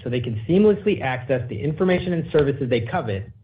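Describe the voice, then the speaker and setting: A man's voice: mid-range voice, lively intonation, quick pace. A man speaking as a company executive on an earnings call, in businesslike corporate phrasing. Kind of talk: earnings call presentation and answers. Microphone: conference call line. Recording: narrow phone-like conference line.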